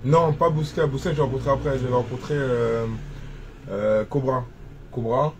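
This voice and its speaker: A man's voice: mid-range voice, fairly even intonation, normal speed. Chatty young man from cameroon, rambling with restarts, some faint noise behind him. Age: 20s. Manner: rambling, many restarts and fillers. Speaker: rapper guest. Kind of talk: casual interview chat.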